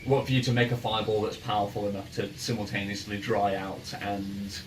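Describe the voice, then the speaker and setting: A man speaking as game master, narrating a tabletop game in a casual, halting and amused way. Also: on the low side, flat delivery. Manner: halting, amused, chuckly delivery. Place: indoor room, in-person game session. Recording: distant mic, noisy room ambience.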